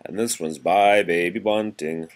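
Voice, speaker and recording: A man's voice: deep voice, fairly even intonation, measured pace. A man reading nursery rhymes aloud in a sing-song way, chatting casually to a child between them as a parent would.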